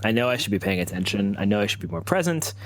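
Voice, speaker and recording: Lively, halting male tabletop player. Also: lowish voice. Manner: halting, thinks aloud. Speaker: roleplaying game player. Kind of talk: improvised in-character storytelling and table talk.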